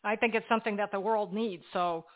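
A woman hosting a radio talk show and reading her sign-off in a polished, gently emphatic way. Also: mid-range voice, steady tone, brisk, no echo, narrow, tinny audio.

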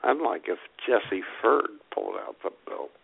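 A man's voice: lowish voice, expressive, normal speed, slight background noise. A man co-hosting a casual comedy podcast, teasing his partner and calling himself an optimist. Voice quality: gravelly, teasing, self-described optimist. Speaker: podcast co-host. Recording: tinny phone-like audio.

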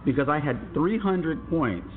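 A man's voice: fairly high pitch, very animated, a little slow. A middle-aged man hosting a casual podcast, talking easily with fillers and restarts. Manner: easygoing, chatty with fillers and restarts. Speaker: podcast host. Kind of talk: casual co-hosted podcast chat. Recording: tinny phone-like sound with faint music.

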